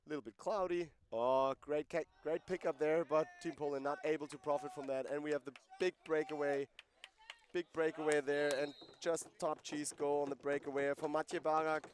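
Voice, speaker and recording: A man's voice: a little high, flat delivery, normal speed, a little hiss or hum. A man giving live play-by-play commentary on a lacrosse game, conversational and explaining rules and player backgrounds between plays.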